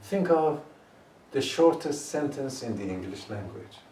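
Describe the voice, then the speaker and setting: Man, guided meditation, very slow, drawn-out chanting, distant mic, echoey room. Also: medium pitch, animated, warm tone, a little hiss or hum.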